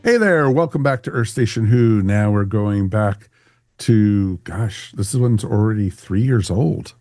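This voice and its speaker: A man's voice: on the low side, a little slow, mellow, no echo, studio quality, soft background music. A man hosting a podcast in a casual, jokey, informal way. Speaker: podcast host.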